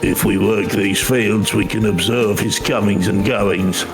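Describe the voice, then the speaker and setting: A man's voice: lowish voice, fairly even intonation, unhurried, music in the background, low-quality recording. A man voicing a proud steppe tribesman with stately, archaic phrasing in a game. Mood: earnest.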